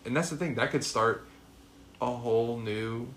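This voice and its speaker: A man in his twenties co-hosting a podcast, chatting casually and getting excited, often saying "bro". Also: mid-range voice, normal speed, a little hiss or hum. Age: 20s. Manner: excitable, says "bro" a lot. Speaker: podcast co-host. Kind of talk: casual chat.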